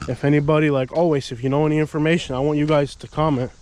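A man in his thirties vlogging casually outdoors, chatting and thinking out loud with lots of fillers, filmed on a handheld camera. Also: on the high side, fairly even intonation, very fast. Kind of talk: casual walking vlog. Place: outdoors at an empty lot, open air. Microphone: handheld action camera at arm's length.

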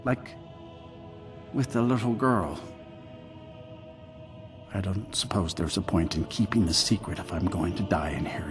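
A man voicing a remorseful, cursed werewolf in a video game, speaking in a halting, brooding, confessional way. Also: fairly low pitch. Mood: ashamed, confiding.

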